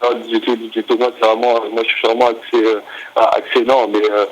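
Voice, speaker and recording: A young adult man speaking politely and haltingly on a phone call, apologising and repeating himself as he negotiates a purchase. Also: medium pitch, little pitch movement. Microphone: telephone. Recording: telephone line with faint noise.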